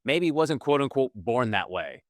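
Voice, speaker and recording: A male podcast host talks in a relaxed, conversational way and often uses fillers as he asks questions. His voice is at a middle pitch and sounds dry and close on the microphone. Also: unhurried, dry recording. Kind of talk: casual interview chat.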